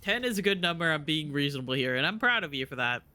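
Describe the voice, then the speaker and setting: A man's voice: fairly high pitch, sing-song, quick pace, sharp tone, no echo. A man chatting casually and informally as he live-streams a video game, rambling and swearing now and then. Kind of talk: casual live-stream chat while gaming.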